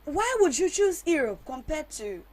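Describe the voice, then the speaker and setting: A slightly high-voiced woman runs a talk show discussion. She mixes English and Twi and circles back over her questions before her guests answer. She sounds chatty and informal. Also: very animated, moderate pace. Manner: switches between English and Twi.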